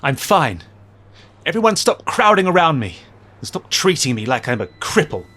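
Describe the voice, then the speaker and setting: A bitter, wounded male superhero in an audio drama. His raspy voice moves sharply up and down, curt and scornful. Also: fairly high pitch, very animated, moderate pace, a bit bright. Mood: bitter, angry.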